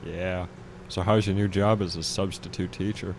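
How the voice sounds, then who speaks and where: A man playing a matter-of-fact, deadpan slacker antihero in a comic radio play. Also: low voice, normal speed, gravelly, a little hiss or hum. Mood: casual. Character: deadpan baby-eating male antihero slacker.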